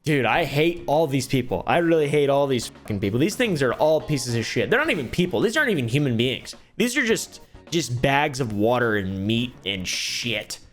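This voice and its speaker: A man's voice: medium pitch, sing-song, normal speed, faint music behind. A young man doing dry, sarcastic commentary on online streamer drama, swearing freely. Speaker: commentary YouTuber.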